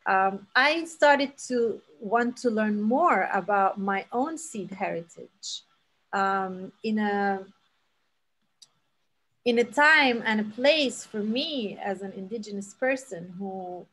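A woman's voice: medium pitch, expressive, slow, a bit bright, breathy edge, rough recording. Woman from Palestine in her thirties, earnest storyteller, speaking over a laptop mic on a video call. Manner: earnest, with many fillers and restarts. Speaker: seed saver giving a talk. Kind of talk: storytelling talk. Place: home room on a video call. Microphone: laptop webcam mic on a video call.

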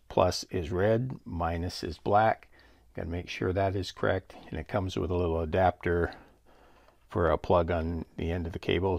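Deep voiced guy with some gravel, explaining how a product works, matter of fact, really dry sound. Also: darkish tone, no echo. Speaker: product demonstrator. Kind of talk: casual product demonstration, explanatory.

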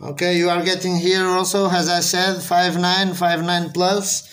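A man's voice: high voice, level tone, a little slow, fairly bright tone. A man with a Portuguese accent, speaking English as a second language, making a radio contact and spelling things out phonetically. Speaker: amateur radio operator.

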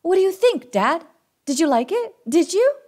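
A woman's voice: extremely high pitch, big pitch swings, moderate pace, a little mellow, pitched above the performer's own voice. A woman voicing an eager, playful young male wolf pup in a children's storybook, given to exuberant howls and nonsense singing.

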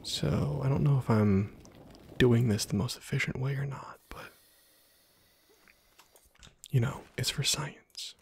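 A man softly murmuring and whispering ASMR gameplay commentary, musing to himself in a hushed, breathy way close to the microphone. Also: mid-range voice, takes it slowly, pauses often. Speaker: gaming video host.

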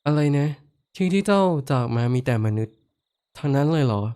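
A man voicing a kindly horned giant lord who speaks softly, comforts the listener, and teases playfully in a roleplay audio drama. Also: a little high, lively intonation, brisk, clear, resonant tone, natural voice. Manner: soft-spoken, comforting, playfully teasing. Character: kindly male giant lord with horns, gentle and teasing.

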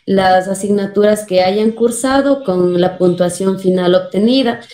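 A woman's voice: mid-range voice, measured pace, dark tone. A young woman with an Ecuadorian accent, explaining things as a guest in a video-call interview. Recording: roomy, echoing video call.

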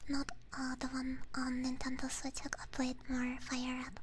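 A woman streams ASMR in a breathy whisper, chatting informally with her viewers. When her voice comes through, it is high and soft. She is close on a binaural mic, with some background noise. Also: monotone, unhurried. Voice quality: breathy, mostly unvoiced whisper. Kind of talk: whispered ASMR, casual live-stream chat. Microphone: binaural ASMR microphone.

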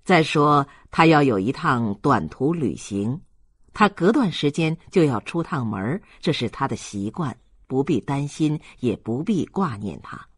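Male narrator for a literary audiobook, husky tone, close dry sound, expressive scripted reading. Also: medium pitch, a little slow, no echo.

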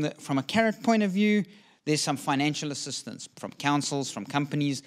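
A middle-aged man giving a short prepared talk from notes on an indoor stage, speaking earnestly into a handheld microphone with frequent ums and restarts. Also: a little high. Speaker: community speaker. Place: indoor stage with dark curtain.

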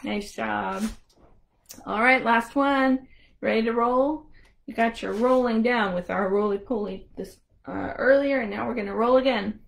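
A woman's voice: fairly high pitch, unhurried, gravelly. A woman hosting a storytime for young children, talking simply and encouragingly to toddlers. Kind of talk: interactive children's storytime with songs and rhymes.

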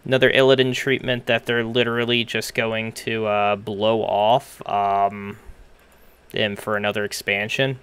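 A man's voice: fairly low pitch, flat delivery, unhurried, a bit bright, smooth. A young man doing casual, sweary, off-the-cuff reaction commentary with a hesitant, informal delivery. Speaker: reaction streamer. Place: small room at home. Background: game cutscene music.

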